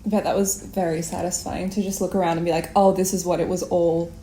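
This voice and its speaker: A woman hosting a conversational arts interview, attentive and measured, with deliberate phrasing. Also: lowish voice, flat delivery, warm tone, a little hiss or hum. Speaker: interview host. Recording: roomy, echoing sound.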